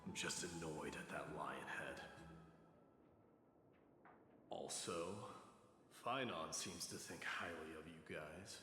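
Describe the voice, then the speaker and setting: A man's voice: extremely low pitch, measured pace. A man playing a stern, proud warrior lord in a video game, speaking in a curt, scornful, commanding way. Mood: gruff, dismissive. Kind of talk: video game character acting. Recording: reverberant hall sound, game music behind.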